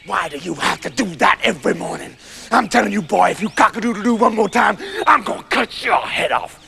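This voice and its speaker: Man doing a menacing, gravelly old American farmer. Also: high voice, lively intonation, fairly quick, pushed and bright, soft background music, full character voice. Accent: put-on American. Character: grumpy, threatening old farmer acting dangerous.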